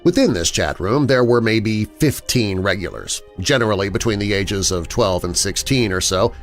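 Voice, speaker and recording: A man reading first-person scary stories as a narrator, in a dramatic, expressive storytelling manner. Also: lowish voice, normal speed. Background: background music.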